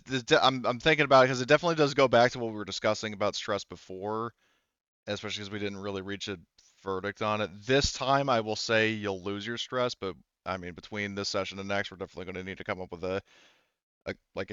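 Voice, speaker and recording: A man's voice: on the low side, steady tone, a little fast, a bit bright, clear, resonant tone. A man speaking casually as game master of a tabletop role-playing game, hesitant and thinking aloud. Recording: dull, roomy lo-fi voice recording.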